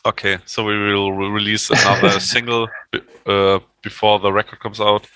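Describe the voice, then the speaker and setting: Band musician, male, native German speaker. Bright, sharp tone, casual interview talk, close dry sound. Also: on the low side, little pitch movement, moderate pace, dry recording. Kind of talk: casual podcast chat.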